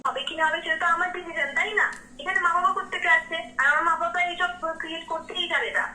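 A woman's voice: very high-pitched, racing, sharp tone. A young woman with a West Bengal Bengali accent, protesting indignantly in a phone conversation. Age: young adult. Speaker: prank call recipient. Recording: echoey phone call, faint noise.

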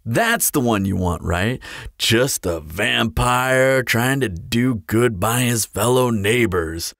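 A man's voice: mid-range voice, expressive, slow pace, creaky, fairly bright tone, no echo, studio quality, exaggerated voice. A man playing a teasing, flirtatious immortal vampire in an audio roleplay, with a playful, sardonic, theatrical drawl. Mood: mock pondering, amused. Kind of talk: scripted audio roleplay acting.

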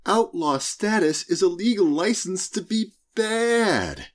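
A man narrating a short story as an audiobook, in a wry, expressive storytelling manner. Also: high-pitched, takes it slowly.

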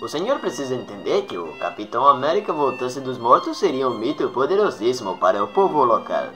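A man's voice: mid-range voice, very animated, fast talker, pushed and bright. A man acting a formal, anxious henchman who serves a villain ruler in a motion comic dub, music underneath. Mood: dutiful.

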